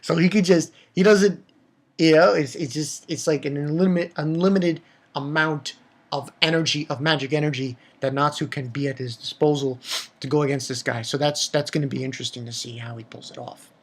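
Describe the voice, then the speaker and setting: Casual vlogger voice for a manga rant: medium pitch, blunt, foul-mouthed, mocking, animated. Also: fairly quick.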